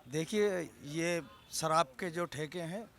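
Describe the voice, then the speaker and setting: Male passer-by, slightly high voice, casual street opinion. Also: takes it slowly, noisy background. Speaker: member of the public. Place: outdoors on a street.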